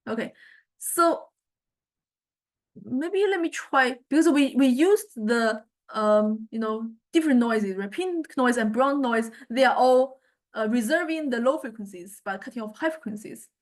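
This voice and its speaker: Woman, lecturer, fairly high pitch, informal demonstration style, hesitant, thinking aloud. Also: lively intonation, measured pace. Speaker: music technology lecturer.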